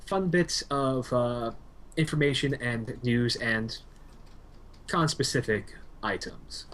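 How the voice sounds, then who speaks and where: A man co-hosting a live radio podcast, speaking haltingly with many fillers. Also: medium pitch, fairly bright tone, slight background noise. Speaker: co-host. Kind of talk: casual live podcast chat.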